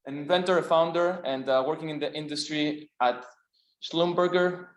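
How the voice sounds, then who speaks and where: A man with a Greek accent hosts a seminar and introduces the guest speaker, halting with many fillers. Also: on the high side, fairly even intonation. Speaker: seminar host. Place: lecture room. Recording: echoey room, dull lo-fi sound.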